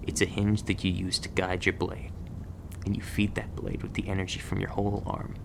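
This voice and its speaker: A man playing a gruff, guarded agent who trains a rookie in a terse, stern manner in an ASMR roleplay. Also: low voice, monotone, normal speed, noisy background. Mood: stern, patient. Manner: terse, stern instructing, stammers when flustered.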